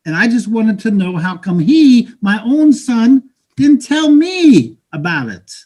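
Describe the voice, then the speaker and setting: A man's voice: extremely high pitch, big pitch swings, slow, a little mellow, heavily acted. A man playing a proud, theatrical Salvadoran father of thirty-five in a staged reading, with a grandiose, insistent manner. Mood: placating, hurt. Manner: grandiose, insistent, mixes Spanish into English. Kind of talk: live staged play reading.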